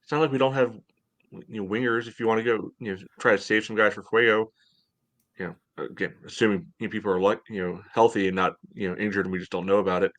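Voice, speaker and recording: A man in his thirties giving casual sports analysis in a measured, conversational way as a podcast co-host on a video call from home. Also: on the low side, steady tone, fairly bright tone. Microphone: headset on video call.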